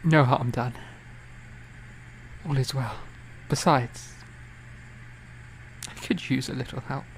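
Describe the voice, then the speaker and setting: A man acting a weary, feverish young gentleman composer who speaks in halting, formal, courteous phrases in a period audio drama. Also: mid-range voice, fairly even intonation, creaky, a little hiss or hum, rough recording. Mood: weak, polite. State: feverish, weak.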